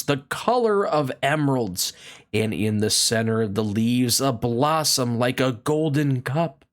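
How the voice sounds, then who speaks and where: Very deep, slow-reading woman storyteller. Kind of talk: scripted children's story narration.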